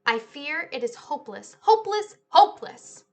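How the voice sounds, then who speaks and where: A woman's voice: high voice, big pitch swings, normal speed, pitched above the performer's own voice. A woman voicing a worried, important male museum director in a children's storybook. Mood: despairing.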